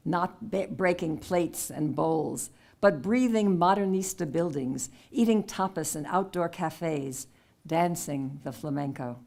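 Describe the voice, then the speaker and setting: A woman hosting a poetry contest event in a village hall, reading poet bios and poems aloud in a clear, measured way. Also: fairly low pitch, darkish tone. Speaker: contest host.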